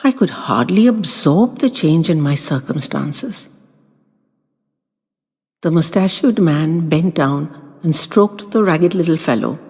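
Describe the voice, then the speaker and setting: A woman reading sentimental short stories as a storyteller, in a soft, expressive, dramatic voice with lingering pauses. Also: low-pitched, breathy edge. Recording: long hall reverb, music behind.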